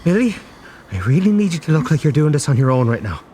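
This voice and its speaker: A man's voice: a little high, sing-song, fast talker, very noisy. A man playing a worried, protective friend in a horror audio drama, with an urgent, emotional delivery. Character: anxious, protective male friend of the heroine. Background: music.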